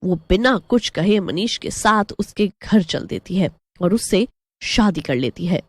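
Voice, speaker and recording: A woman's voice: medium pitch, animated, a little slow, breathy edge, dry recording. A woman narrating a scripted horror story as a storyteller.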